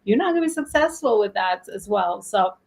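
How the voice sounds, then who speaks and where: A woman's voice: mid-range voice, expressive, fairly quick, vocal fry. A woman hosting a conversational panel interview, talking emphatically about business and often repeating phrases for effect. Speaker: host of a panel interview show.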